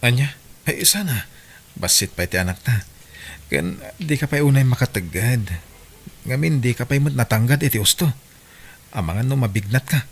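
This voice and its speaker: A man with an Ilocano Filipino accent playing a gentle, apologetic farmer husband and worried young father in an audio drama. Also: medium pitch, lively intonation, normal speed, pauses often, slight background noise, lightly acted.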